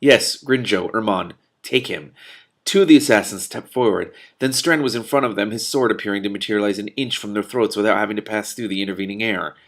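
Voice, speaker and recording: A man hosts a read-aloud in a low-pitched voice with heavy vocal fry. He reads expressively and drops out of the story into casual, amused commentary. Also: fast, few pauses. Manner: amused, breaking off to comment and laugh. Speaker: host reading a novel aloud with commentary. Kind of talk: expressive book reading with casual reactions.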